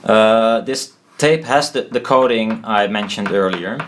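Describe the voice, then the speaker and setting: A man with a fairly low voice gives an informal hands-on explanation, thinking aloud as he goes. He is halting and unsure of himself, trailing off and correcting his wording, with a blunt, casual turn of phrase. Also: a little hiss or hum. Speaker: maker explaining a DIY build. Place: indoors at a desk.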